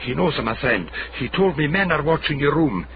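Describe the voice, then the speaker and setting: Concerned, lively friend. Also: male voice, on the high side, a little fast. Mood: urgent concern. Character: concerned, loyal male friend bringing a warning. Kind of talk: radio drama acting. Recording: muffled, noisy radio drama.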